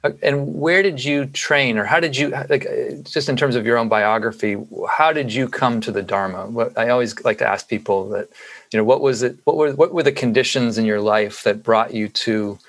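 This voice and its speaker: Rambling podcast host. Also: male voice, mid-range voice, normal speed, fairly bright tone. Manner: rambling, many restarts and fillers. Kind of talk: conversational interview.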